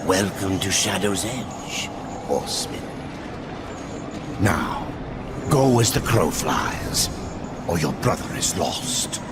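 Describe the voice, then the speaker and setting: An actor playing an ominous, cryptic otherworldly guide in a fantasy game, solemn, portentous and gravelly. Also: male voice, sits low, lively intonation, takes it slowly, pauses often, very noisy. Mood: ominous, commanding. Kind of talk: dramatic fantasy game acting.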